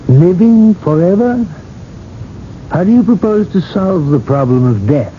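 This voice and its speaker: An actor playing a dignified, patient older cardinal in a radio drama, speaking in a courteous, measured, gently ironic way. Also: male voice, high-pitched, lively intonation. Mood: solemn, probing. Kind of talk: 1980s radio drama acting. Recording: muffled, noisy old radio broadcast.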